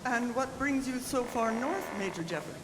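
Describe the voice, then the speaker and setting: A woman's voice: a little high, animated, normal speed, lightly acted. A woman playing a genteel, loving Edwardian wife with refined, formal phrasing in an old-time radio drama. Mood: fond, polite. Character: genteel, loving wife recovering from an ordeal, 1908. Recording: noisy, echoey live stage recording.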